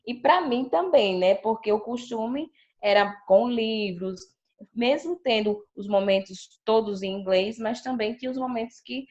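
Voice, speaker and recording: A woman's voice: a little high, measured pace. A woman teacher with a Brazilian Portuguese accent, chatting about her classroom and acting out her pupils' quoted remarks. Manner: chatty, animated retelling with quoted pupil voices. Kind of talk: sharing classroom experience on a video call. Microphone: video call. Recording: dull lo-fi video call audio.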